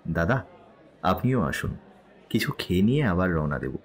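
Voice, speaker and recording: A man's voice: low voice, very animated, normal speed, soft background music. A man playing a considerate middle-aged husband in an audio story. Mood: gentle.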